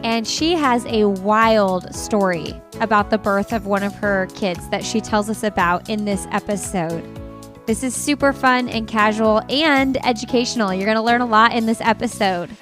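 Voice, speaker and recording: A woman's voice: mid-range voice, moderate pace, fairly bright tone, faint music behind. A woman hosting a parenting podcast, upbeat and enthusiastic in casual conversation. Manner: bubbly, enthusiastic. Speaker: podcast host.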